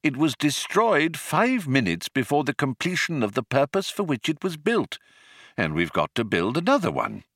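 A man acts out an elderly alien craftsman from an audiobook, genial and a little vague. His pitch swings widely as he explains things with mild, courteous understatement, recorded close and dry. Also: wildly swooping pitch, a little slow, fairly bright tone, no echo, heavily acted. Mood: patient, courteous. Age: older. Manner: polite, mild, cheerfully understated. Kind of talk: audiobook character voice.

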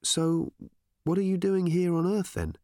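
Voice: medium pitch, steady tone, measured pace, dark tone, dry recording, studio quality, voice pushed up, lightly acted. A storyteller voicing a flustered professional woman reporter who tries to stay composed while asking incredulous questions. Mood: controlled panic.

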